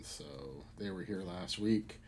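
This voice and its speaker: A man's voice: deep voice, a little slow, noisy background. A middle-aged man with a cold, sounding stuffy, chatting casually to his livestream viewers while he builds a model. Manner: relaxed, chatty, thinking aloud while crafting. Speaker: hobby livestream host. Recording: faint background music.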